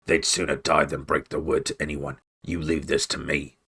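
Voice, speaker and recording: A man's voice: very deep voice, flat delivery, normal speed, creaky, bright, forceful tone, slightly rough, heavily acted. A man voicing a menacing one-eyed male rat warlord, talking with growling contempt. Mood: scornful.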